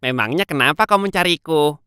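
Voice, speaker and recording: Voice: medium pitch, expressive, fairly quick, bright, forceful tone, clean tone. An actor voicing a boastful, smug hare in a children's cartoon fable, with a swaggering, mocking manner. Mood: curious. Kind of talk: children's animated fable dialogue.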